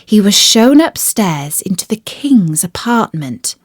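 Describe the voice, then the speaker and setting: Female storyteller, scripted children's fairy tale, lively intonation, unhurried, dry close sound. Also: medium pitch, slightly rough, no echo. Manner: expressive, deliberate phrasing.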